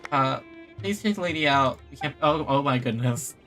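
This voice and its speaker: A bright, mid-pitched game streamer talks over light music. The delivery is reactive live commentary, often muttered to themself, with on-screen dialogue read aloud. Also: expressive, normal speed.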